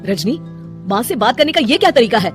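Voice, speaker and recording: An earnest, affectionate young husband from a cartoon moral tale, as a woman narrator plays him. The delivery swings in pitch and pleads openly, with music underneath. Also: sing-song, fairly quick. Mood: upset, questioning. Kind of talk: Hindi cartoon moral story acting.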